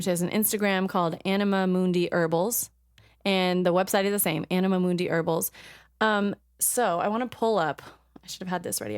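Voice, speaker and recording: A woman co-hosting a podcast in casual chat, halting and full of ums as she looks things up. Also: on the low side, clean tone, dry recording, clean studio sound. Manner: chatty, halting, many ums while searching notes. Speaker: podcast co-host.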